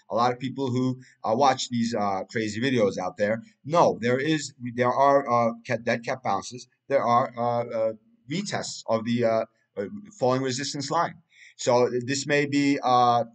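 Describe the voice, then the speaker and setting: A man, a Turkish speaker, talking through a price chart in casual analysis, rambling with many fillers and restarts. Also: mid-range voice. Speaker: crypto market analyst.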